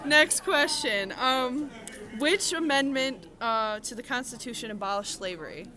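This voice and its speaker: A woman's voice: high-pitched, unhurried, bright, forceful tone. A young woman interviewing people in a casual quiz, asking questions in an informal, giggly way. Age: young adult. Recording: crowd chatter behind, on location.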